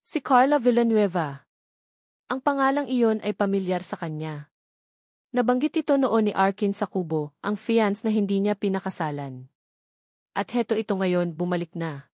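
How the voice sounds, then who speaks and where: A woman's voice: mid-range voice, expressive, moderate pace, pauses often, a little mellow, dry recording, clean studio sound. A woman with a Filipino accent reads a scripted romantic drama story as its narrator.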